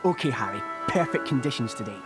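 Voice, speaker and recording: A man's voice: medium pitch, animated, quick pace, over loud music, natural voice. A man voicing a keen, encouraging teenage sports captain in a video game.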